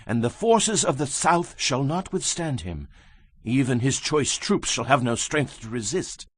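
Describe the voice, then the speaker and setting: A man's voice: mid-range voice, no room sound. A man giving a solemn, declamatory dramatized reading of scripture as a Bible narrator.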